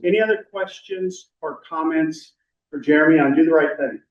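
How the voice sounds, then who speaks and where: A man's voice: on the high side, normal speed, muffled recording. Male meeting chair, casual and talkative, heard from a distant mic in an echoey room. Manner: chatty, rambling, with light joking. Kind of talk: council meeting discussion.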